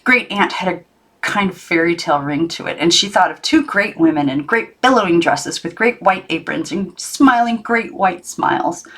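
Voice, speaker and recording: A woman reading a children's novel aloud as an audiobook narrator, in an expressive storybook style. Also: fairly low pitch, moderate pace. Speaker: audiobook reader.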